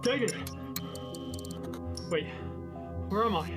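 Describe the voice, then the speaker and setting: An actor playing a dazed, injured male ship engineer who speaks in halting, breathless fragments in an audio drama clip. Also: sits high, animated. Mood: dazed, strained. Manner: halting, breathless, clipped words. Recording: loud music and ticking under the voice.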